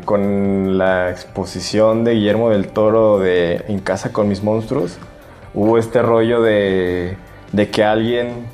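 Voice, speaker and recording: A man's voice: on the low side, darkish tone. A man with a Mexican accent co-hosting a podcast, telling anecdotes in casual conversation. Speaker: podcast co-host.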